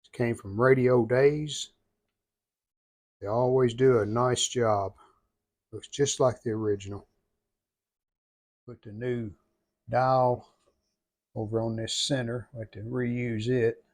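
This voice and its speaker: A man giving casual how-to narration as a hobbyist restorer, plain-spoken and folksy, low-key, talking in short phrases. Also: fairly even intonation, slow, long pauses, a little mellow. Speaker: hobbyist restorer demonstrating a repair.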